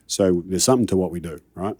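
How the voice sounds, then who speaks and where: A man's voice: low voice, fast, a little mellow, dry recording, clean studio sound. A middle-aged Australian man, a coach telling his career story in a casual interview, rambling and self-deprecating. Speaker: high-performance coach, guest.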